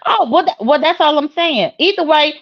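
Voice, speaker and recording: Dull, lo-fi call audio carries a high-pitched woman with a Southern US accent. She is blunt and combative, arguing in casual, profane language like a heated panel guest. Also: lively intonation, normal speed. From Mississippi, United States. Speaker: panel guest on a live online show.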